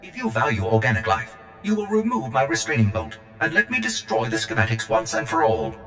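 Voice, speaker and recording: Bright, fussy protocol droid. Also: male voice, on the low side, animated, a little fast. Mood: pleading. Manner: prissy, theatrical complaining. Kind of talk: video game cutscene acting. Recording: echoey hall, music behind.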